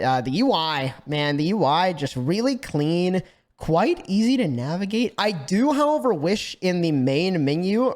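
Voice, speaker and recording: Brief: man, slightly scratchy voice, casual animated game review, a bit high-pitched. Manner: animated, swears freely, frequent fillers and restarts. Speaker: gaming YouTuber.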